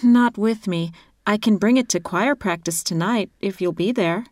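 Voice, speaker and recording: A woman playing a student in a scripted exam dialogue, with a bright, cheerful delivery. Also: medium pitch, moderate pace, no room sound, clean studio sound.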